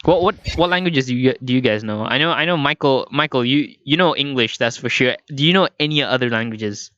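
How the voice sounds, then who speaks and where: A man's voice: medium pitch, brisk, bright, forceful tone, highs cut off. A teenage boy co-hosting a casual podcast, chatty and full of fillers, swearing freely and firing off questions. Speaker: podcast co-host. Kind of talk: casual chat.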